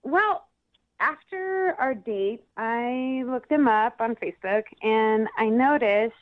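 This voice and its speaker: A woman's voice: a little high, expressive, slow, phone-quality sound. A woman chatting casually as a radio show guest, hedging as she goes with a giggly, hesitant manner. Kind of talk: casual phone chat.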